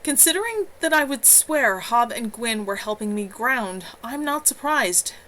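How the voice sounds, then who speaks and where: A woman voicing a steady friend who speaks in a dry, wry way. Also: on the high side, measured pace, a little hiss or hum, pitched above the performer's own voice, low-quality recording.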